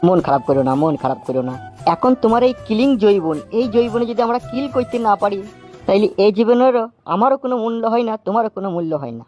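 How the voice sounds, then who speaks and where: A man playing a pushy, smooth-talking suitor in a comedy cartoon, coaxing and repeating himself. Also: sits high, a little mellow, dry recording, highs cut off. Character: smooth-talking pushy male suitor wooing an abandoned wife.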